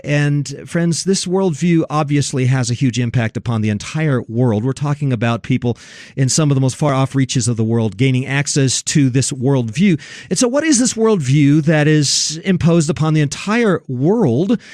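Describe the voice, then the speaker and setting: A man's voice: medium pitch, animated, moderate pace, a little mellow, no room sound, clean studio sound. An American man hosting a religious talk radio show, speaking emphatically and rhetorically to his listeners.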